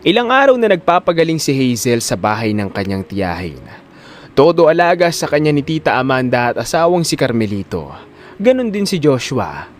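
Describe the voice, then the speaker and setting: A man's voice: medium pitch, huge pitch swings, moderate pace, a little hiss or hum. A man narrating a scripted audio drama in a dramatic storytelling style.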